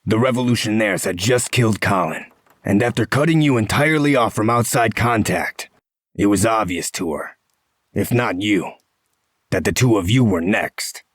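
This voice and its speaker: An actor playing a gruff, blunt, sardonic and protective man in a comic dub. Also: fairly low pitch, moderate pace, no room sound, clean studio sound, lightly acted. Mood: explaining firmly.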